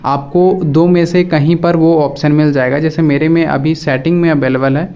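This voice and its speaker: A man's voice: a little high, steady tone, normal speed, warm tone, smooth. A man presenting a casual step-by-step phone app tutorial, addressing viewers directly and often repeating his instructions.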